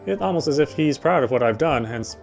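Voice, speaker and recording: A man's voice: a little high, lively intonation, quick pace, vocal fry. A man doing a live dramatic reading of first-person story narration from a game. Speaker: let's player reading game narration aloud. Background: faint music.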